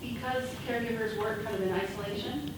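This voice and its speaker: A woman's voice: fairly high pitch, fairly even intonation, fast. Woman, audience member, hesitant, distant, very echoey room, background noise. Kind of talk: answering a question from the floor. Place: conference room. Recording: echoey room, far from mic, noisy.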